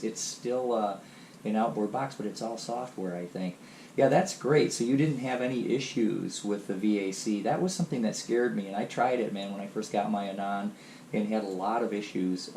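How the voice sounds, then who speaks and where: A man with a cold chatting casually over ham radio, relaxed and full of fillers. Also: lowish voice. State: has a cold. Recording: echoey room, faint noise.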